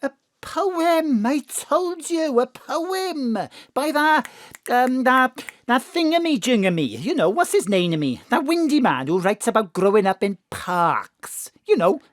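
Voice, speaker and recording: A woman's voice: high-pitched, sing-song, a little slow, no room sound. A woman playing a doting, scatterbrained middle-aged Welsh mother in a comic audio drama, gossipy and coquettish. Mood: gossipy, fussing. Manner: theatrical, gossipy, muddles her words.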